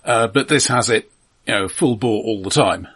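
A man in casual discussion as a film podcast host, analytical and measured, pausing and hesitating as he reasons. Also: fairly low pitch, a bit bright, husky.

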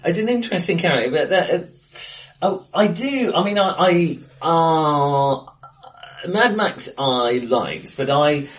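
Guy chatting on a film podcast, kinda hesitant and rambly, bit far from the mic in a bright room. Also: fairly high pitch, animated, measured pace. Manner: hesitant, rambling, often restarting sentences. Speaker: co-host of a film discussion podcast. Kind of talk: casual discussion, film commentary. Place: face-to-face recording in an acoustically bright room.

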